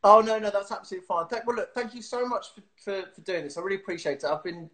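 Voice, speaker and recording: A man's voice: high-pitched, very fast, a bit bright. A man in his thirties hosting a casual video-call interview from a small room at home, chatty and giggly with lots of fillers and restarts. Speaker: host. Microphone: webcam on a video call.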